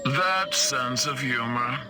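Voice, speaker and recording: Slow, shadowy conspiracy leader played by a man. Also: mid-range voice, close to an everyday voice. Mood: cool, knowing. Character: shadowy, cool, knowing conspiracy leader in disguise. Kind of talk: video game villain acting. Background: music.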